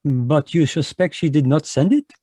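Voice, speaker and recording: A man's voice: a little high, normal speed, dark tone, husky, dry recording, studio quality. A man playing a fussy, precise Belgian detective with a French accent in a radio drama, read live over a video call. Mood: polite, shrewd. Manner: precise, polite, sprinkles French words. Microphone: home microphone on a video call.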